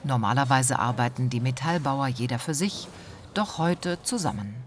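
A woman narrates a television report in an even, informative voice. The read is measured and unhurried, with a steady hiss under it. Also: rumbling low. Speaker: documentary narrator. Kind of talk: scripted voice-over narration.

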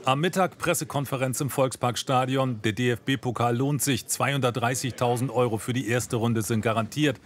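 A man's voice: mid-range voice, fairly even intonation, moderate pace, few pauses. A man narrating a scripted television news report.

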